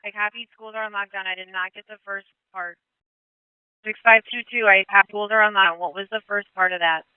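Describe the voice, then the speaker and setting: A male police dispatcher speaking over radio traffic in a calm, clipped way, repeating back information. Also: high voice, brisk, smooth, dry recording, phone-quality sound. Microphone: dispatch console radio.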